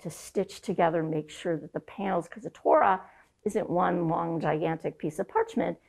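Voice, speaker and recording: A woman's voice: fairly low pitch, moderate pace, creaky, a little mellow. A woman from the congregation talking in a chatty, casual way. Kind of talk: interview soundbite.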